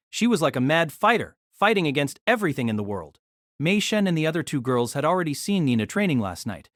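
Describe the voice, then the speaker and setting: A man narrating a novel aloud in an expressive storytelling manner. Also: a little high, no room sound, clean studio sound.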